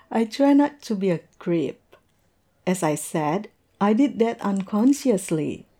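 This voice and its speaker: A woman playing a tipsy, observant coworker in a conversational audio roleplay, musing and teasing in a halting way. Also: mid-range voice, very animated, warm tone, heavily acted. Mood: musing, candid. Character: tipsy, observant young woman chatting with coworkers over drinks.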